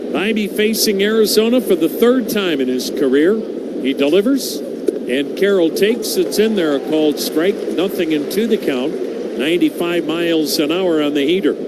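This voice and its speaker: American guy doing radio baseball commentary, pretty high voice, folksy, ballpark noise behind him. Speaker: baseball play-by-play announcer. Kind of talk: live radio sports commentary. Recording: noisy ballpark ambience behind.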